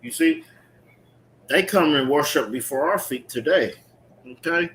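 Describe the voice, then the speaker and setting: A man's voice: fairly high pitch, lively intonation, unhurried, a bit bright. A man preaching and explaining scripture emphatically, repeating phrases and checking in with his listeners. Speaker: preacher leading a scripture teaching. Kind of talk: street-preaching style sermon with call-and-response reading. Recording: clearly noisy recording with ambient background sound.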